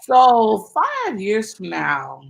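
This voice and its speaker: Excitable gravelly young woman. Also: fairly high pitch, very slow. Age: 20s. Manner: animated, loud outbursts of excitement. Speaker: interview guest. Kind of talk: casual interview chat.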